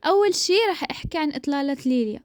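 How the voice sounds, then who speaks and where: Fast, high-voiced woman chatting casually. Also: expressive, no echo. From Maghreb. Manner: chatty, opinionated, easy laughter; speaks in a levelled dialect mix, not her own. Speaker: co-host. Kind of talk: casual chat.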